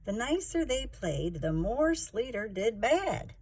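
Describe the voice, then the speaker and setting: A woman narrates a children's picture book in rhyme. Her pitch moves in lively swings and her voice has a husky touch. She is theatrical and emphatic with the verse. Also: slow. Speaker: children's story reader. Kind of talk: expressive rhyming storybook narration.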